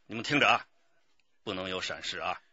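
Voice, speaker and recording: An actor playing a gruff, plain-spoken detective captain in a radio drama. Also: male voice, low-pitched, brisk, dull audio, noisy background, close to an everyday voice. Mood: gruff orders. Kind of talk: Chinese radio drama acting.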